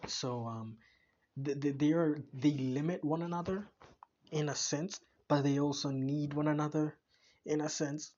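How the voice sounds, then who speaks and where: A man's voice: a little high, fairly even intonation, measured pace, mellow, dull audio. A man hosting a reaction video, giving casual commentary that thinks aloud, with repeats and restarts.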